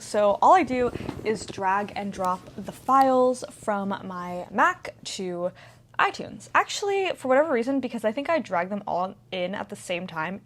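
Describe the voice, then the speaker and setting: A woman of twenty talking in a casual, rambling vlog style, full of "like" and "um" as she chats. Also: medium pitch. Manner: rambling, filler-heavy, lots of "like". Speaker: vlogger.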